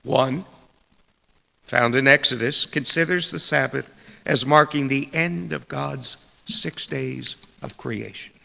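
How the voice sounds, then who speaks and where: A man reads a sermon very slowly, breaking it into deliberate phrases with pauses. His voice is strongly gravelly, with a sharp, forceful edge. Long reverb trails his words, as in a large church. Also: medium pitch. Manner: deliberate, measured, broken into short phrases with pauses. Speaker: preacher reading a sermon. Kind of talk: scripted sermon read aloud.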